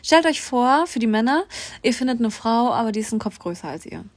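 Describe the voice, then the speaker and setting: A woman's voice: fairly high pitch, lively intonation, quick pace, no echo. A young woman co-hosting a podcast, chatting casually and outspokenly, often speaking straight to listeners. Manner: outspoken, addresses listeners directly. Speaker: podcast co-host. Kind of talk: casual chat.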